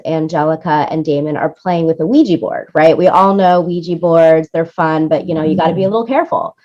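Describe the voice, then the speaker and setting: Woman w/ a low voice, chatty interview guest explaining stuff, kinda dull lo-fi audio. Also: darkish tone. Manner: chatty, explanatory, often tags points with "right?". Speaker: interview guest, music supervisor and producer. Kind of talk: conversational interview talk.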